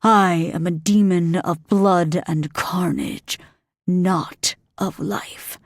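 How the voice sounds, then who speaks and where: Demon lord, male, cruel and hungry, read by a woman, low menacing drawl, dry close sound. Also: fairly even intonation, no room sound. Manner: slow, menacing, lowered voice.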